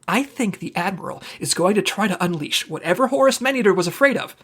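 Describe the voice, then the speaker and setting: An actress playing an earnest, driven agent urging action in an audio drama. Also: female voice, medium pitch, animated, fast talker, fairly bright tone, breathy edge. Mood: urgent.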